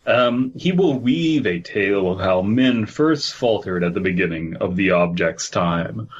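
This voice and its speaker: A man's voice: deep voice, expressive, measured pace, breathy edge, muffled recording. A man co-hosting a casual comedy podcast, riffing irreverently and crudely between bits of horror story he reads aloud. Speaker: podcast co-host.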